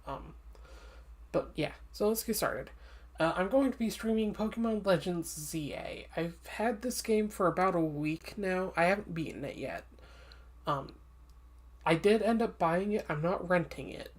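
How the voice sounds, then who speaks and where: A woman's voice: lowish voice, lively intonation, a little slow. A woman streaming a game and chatting casually, slightly congested and sniffly, with lots of ums while giving candid opinions. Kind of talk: casual gameplay commentary.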